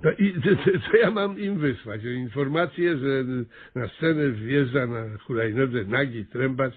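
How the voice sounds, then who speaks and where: An actor playing an exasperated, bossy small-town mayor in a radio comedy, stammering and exclaiming with absurd earnestness. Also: male voice, medium pitch, measured pace, husky, phone-quality sound. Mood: puzzled, insistent. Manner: stammering repetitions, bureaucratic, exclamatory. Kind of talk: radio comedy play acting.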